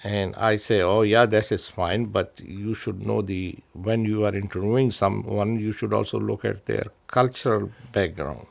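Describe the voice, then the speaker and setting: A middle-aged man from Pakistan telling his own story in an interview, halting, with many restarts and fillers. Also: lowish voice, fairly even intonation, creaky. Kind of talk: personal story told to camera.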